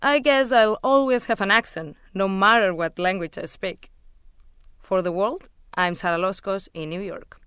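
A woman's voice: mid-range voice, normal speed, fairly bright tone, clear, resonant tone, dry recording, clean studio sound. A woman reporter with a Castilian Spanish accent, whose first language is Spanish, narrating a personal radio news feature in a reflective tone.

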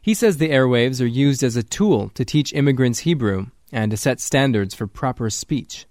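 A male radio reporter reading a scripted news story in an even, clear manner. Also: medium pitch, normal speed, dry recording, studio quality.

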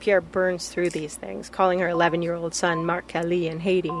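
A woman reporter narrating a radio news story in a calm, steady storytelling manner. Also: on the low side, a little fast, slight background noise.

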